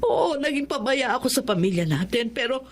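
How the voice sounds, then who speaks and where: A woman's voice: a little high, expressive, fairly quick, slight background noise, lightly acted. An actress playing a remorseful, devoted wife and mother, earnest and emotional, in a Tagalog radio drama. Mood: tearful pleading.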